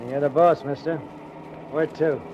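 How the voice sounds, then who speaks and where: An actor playing a nosy, chatty American cab driver in an old-time radio drama, with slangy, ungrammatical working-class talk. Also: male voice, on the high side, steady tone, measured pace, lightly acted. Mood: nosy, eager. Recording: dull, noisy vintage radio recording.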